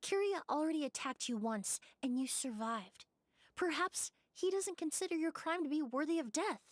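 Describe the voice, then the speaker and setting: A woman voicing a composed, observant young female investigator in a game, calm and articulate. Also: high voice, normal speed. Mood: calm, reassuring. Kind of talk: dramatic game dialogue.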